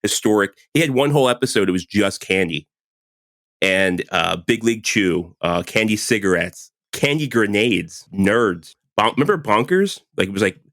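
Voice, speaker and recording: A man's voice: on the low side, lively intonation, moderate pace, a bit bright, no echo, clean studio sound. A man in his forties co-hosting a podcast, chatting casually and laughing often, with lots of "like" fillers. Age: 40s. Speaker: podcast co-host. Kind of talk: casual chat.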